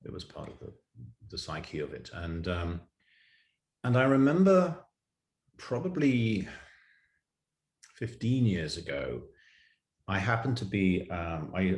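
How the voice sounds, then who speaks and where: A middle-aged man telling reflective anecdotes as an interview guest, in a measured, halting way. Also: deep voice. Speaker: writer and actor, interview guest. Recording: roomy, echoing sound.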